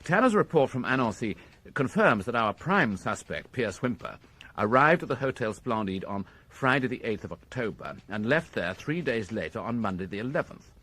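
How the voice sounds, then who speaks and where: British police inspector in radio drama, bit husky, lively intonation, formal n precise, methodical type. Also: male voice, mid-range voice, normal speed, poor audio. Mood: measured, formal. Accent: British English. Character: methodical, courteous male police inspector reporting his findings.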